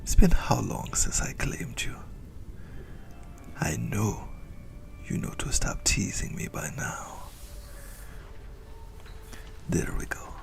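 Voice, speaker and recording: A whispering, heavily creaky ancient male vampire, acted out by a man, speaks in an intimate ASMR roleplay. He is possessive and doting, teasing his beloved as he savors each word slowly. His delivery swings expressively between soft murmurs and livelier turns. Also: fairly low pitch, wildly swooping pitch, full character voice. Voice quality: breathy whisper with gravelly creak.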